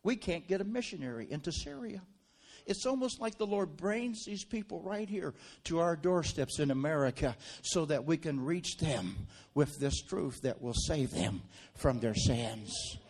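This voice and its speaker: A man's voice: a little high, lively intonation, unhurried, slightly rough. Preaching man in a church. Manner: builds from quiet storytelling to loud fervent prayer. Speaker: guest preacher. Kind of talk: sermon.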